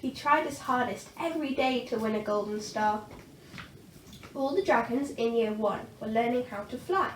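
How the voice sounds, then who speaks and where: A woman storyteller giving an expressive reading of a children's picture book. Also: on the high side, normal speed. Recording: roomy, distant mic, faint noise.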